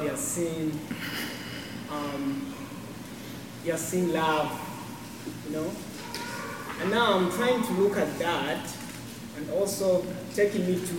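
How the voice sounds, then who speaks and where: A man with a non-native accent in a congregation, hesitantly asking a question and reading scripture aloud. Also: a little high. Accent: non-native English accent. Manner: hesitant, many fillers, reads scripture aloud. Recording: distant, echoey, noisy room.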